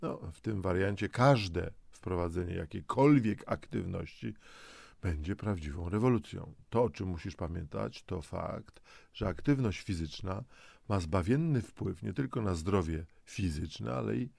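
A man speaks straight to the listener as a motivational host, in a low voice with a gravelly fry. He coaxes and instructs, posing questions as he goes. The sound is close and dry. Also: expressive, measured pace, darkish tone, no room sound. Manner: coaxing, instructive, with rhetorical questions. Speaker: host of a health and lifestyle audio programme. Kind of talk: semi-scripted motivational narration addressed to the listener.

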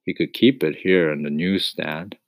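A man voicing a peaceful, resigned but kindly father in a storybook, mild, placating and quietly firm. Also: extremely low pitch, moderate pace, gravelly, no room sound. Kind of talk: acted storybook reading.

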